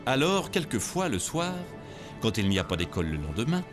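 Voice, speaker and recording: A man narrating a scripted children's story in an expressive, theatrical way. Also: medium pitch, fairly quick. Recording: music playing behind the voice.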